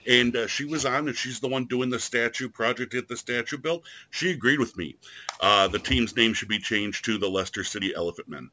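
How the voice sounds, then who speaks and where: A male host in a relaxed chat, joking and teasing his guests. His voice is bright and forward, and he talks at an easy, mid pitch. He sounds casual throughout. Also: a little fast, slight background noise, low-quality recording. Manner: jokey, teasing.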